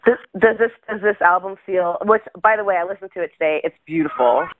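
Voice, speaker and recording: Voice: mid-range voice, fairly quick, no room sound, poor audio. An interviewer hosting the show, speaking in a casual, effusive way and often repeating words as she gathers her thoughts. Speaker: interviewer, host.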